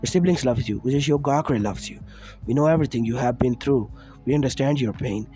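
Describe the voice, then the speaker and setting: A man's voice: mid-range voice, brisk, faint music behind, natural voice. A man doing a soothing loving mother. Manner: soft, hushing, reassuring.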